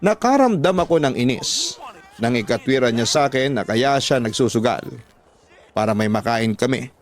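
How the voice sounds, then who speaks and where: A man's voice: mid-range voice, expressive, moderate pace. A man narrating a dramatic first-person story for radio in an earnest, confessional manner.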